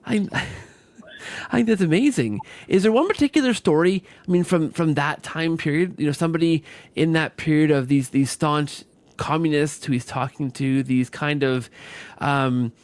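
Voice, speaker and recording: A man with a slightly high voice, recorded dry and close, hosts a podcast conversation. He is earnest and roundabout, doubling back and repeating phrases as he builds toward his point. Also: normal speed, dry recording. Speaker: podcast host. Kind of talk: conversational interview.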